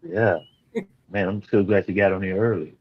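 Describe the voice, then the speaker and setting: Brief: very deep, husky guest man, unhurried, muffled call audio. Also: fairly even intonation. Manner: laid-back, laughing. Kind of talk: casual interview chat.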